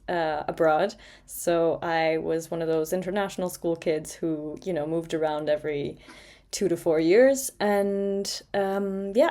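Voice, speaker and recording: A woman's voice: fairly low pitch, darkish tone. A woman in her late twenties from Portugal, co-hosting a casual podcast chat with many fillers and frequent laughs. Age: 20s. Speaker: co-host.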